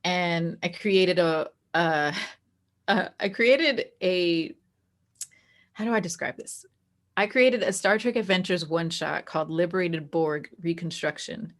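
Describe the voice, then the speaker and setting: A woman with a slightly low voice chats as an interview guest. She tells things in an animated, informal way, like a game master recounting stories to a friend. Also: slow pace. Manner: animated storytelling that acts out quoted dialogue, frequent "like". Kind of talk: casual chatty interview.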